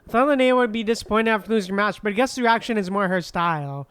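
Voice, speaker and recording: A woman streamer giving casual, soft-hearted reactions in brief interjections while playing a game. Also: medium pitch, fast, clean tone, dry recording.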